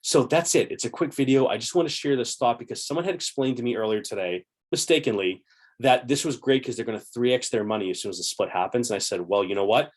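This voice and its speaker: A man in his forties hosting an investing channel, casually explaining to a webcam from a home room in an upbeat, chatty way, a little hoarse. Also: mid-range voice, fast. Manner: upbeat, chatty, gesturing with both hands. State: slightly hoarse, clearing throat.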